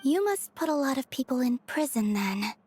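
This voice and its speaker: A woman's voice: sits high, very animated, moderate pace, darkish tone, husky, heavily acted. An actress playing a serene, innocent and bluntly candid young woman in a video game. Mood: curious, candid.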